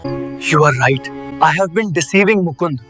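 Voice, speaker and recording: A man playing an anxious, guilty physician in an animated moral story, with a startled, pleading, emphatic delivery. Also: a little high, moderate pace, over music, lightly acted. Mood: remorseful.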